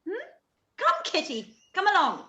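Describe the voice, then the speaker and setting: Excitable, bossy matchmaking mother, wildly swooping pitch, drawn-out delivery, theatrical period radio style. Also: full character voice. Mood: insistent. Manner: gushing, theatrical, insistent. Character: fussy, bossy, excitable middle-aged mother matchmaking her daughters. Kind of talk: period drama live-read radio play. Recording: echoey room.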